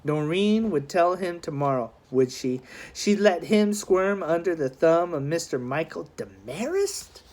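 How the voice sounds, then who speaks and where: A woman reading a children's historical novel aloud as expressive scripted narration. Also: fairly low pitch, a little slow. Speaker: audiobook reader.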